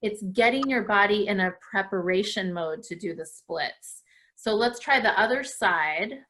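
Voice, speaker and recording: A woman's voice: mid-range voice, unhurried, fairly bright tone, slight background noise. A woman leading a live stretching lesson for viewers at home in an encouraging way, with frequent praise. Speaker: dance class host leading children's stretches.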